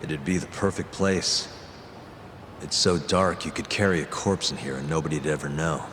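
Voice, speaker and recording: Cool low-voiced investigator in game cutscene. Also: male voice, normal speed, fairly bright tone, slight background noise. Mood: calm, calculating. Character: level-headed, resolute male investigator, adult, quick-thinking. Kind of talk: video game cutscene dialogue. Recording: long reverb, music behind.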